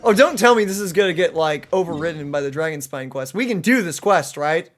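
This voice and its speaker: A woman hosting a gaming let's play, commenting casually and earnestly with expressive reactions. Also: lowish voice, a little fast, a little hiss or hum. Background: game music.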